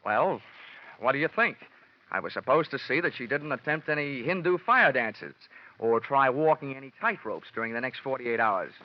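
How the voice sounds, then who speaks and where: An American man playing a wry, deadpan hardboiled insurance investigator in a 1950s-style radio detective drama. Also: medium pitch, moderate pace, lightly acted. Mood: wry, defensive. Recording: muffled old radio broadcast.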